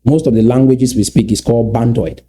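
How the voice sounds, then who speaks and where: Dark-voiced Cameroonian man lecturing. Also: medium pitch, steady tone, a little fast, soft background music. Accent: Cameroonian English. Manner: lecturing, asks rhetorical questions. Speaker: commentator. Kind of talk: commentary on played clips.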